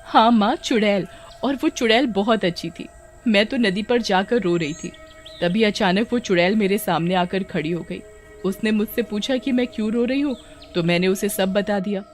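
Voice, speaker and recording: A woman voicing a sensitive, naive village girl with plaintive, expressive delivery in an animated story. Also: medium pitch, moderate pace, soft background music, lightly acted. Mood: excited.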